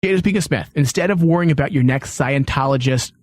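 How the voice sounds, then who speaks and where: A man with a slightly high voice hosts an opinionated panel discussion. He argues emphatically, driving his points home. The sound is dry and close, with little room around it. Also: fast talker, no echo. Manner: emphatic, argumentative. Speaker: podcast host.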